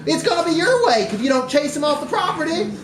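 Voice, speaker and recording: Man putting on an irate, shrill ex-wife. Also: big pitch swings, quick pace. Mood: furious. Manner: scolding, exclamatory, disgusted. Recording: echoey live room recording with background noise.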